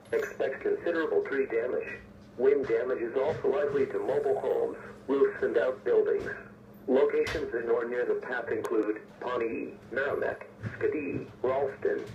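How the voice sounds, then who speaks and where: A synthetic-sounding man's voice reading formal emergency weather bulletins in a measured, impersonal way, as an automated radio announcer. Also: medium pitch, far from the mic. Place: weather radio broadcast picked up on a receiver. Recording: muffled, noisy, echoey weather radio broadcast.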